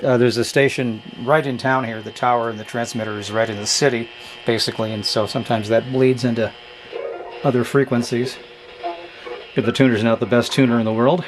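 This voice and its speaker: A man with a medium-pitched voice hosts a casual product review. He talks off the cuff in a halting way, often hedging and qualifying what he says in an offhand manner. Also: fairly even intonation, music in the background, poor audio. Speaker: host of a vintage radio review channel. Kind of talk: casual unscripted product demonstration.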